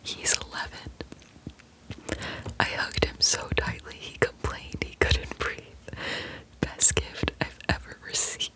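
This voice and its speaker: A young woman hosting an ASMR channel, whispering softly as she reads stories, easily moved to tears. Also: slow, long pauses, low-quality recording.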